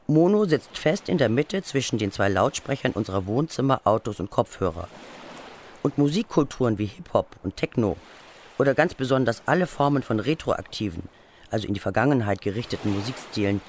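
A man's voice: mid-range voice, animated, brisk, a little mellow, a little hiss or hum. A man reading scripted documentary narration for a radio feature.

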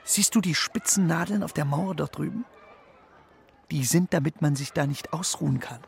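A man's voice: fairly high pitch, normal speed, gravelly. An actor playing a brash, streetwise, embittered male street pigeon in a children's radio play, with slangy, bossy talk. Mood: wary, bitter.

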